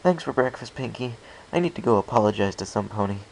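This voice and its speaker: A man's voice: on the low side, expressive, fast talker, vocal fry, darkish tone, a little hiss or hum, rough recording. A man playing an awkward, polite, easily flustered young male pony with an apologetic manner.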